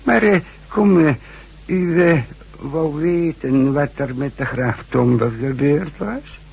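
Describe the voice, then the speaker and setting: Husky, creaky hundred-year-old villager. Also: male voice, a little high, darkish tone, dull audio, full character voice. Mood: rambling, reminiscing. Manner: halting, hesitant, rambling storytelling. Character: rambling hundred-year-old village man, friendly, hospitable. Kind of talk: radio drama acting.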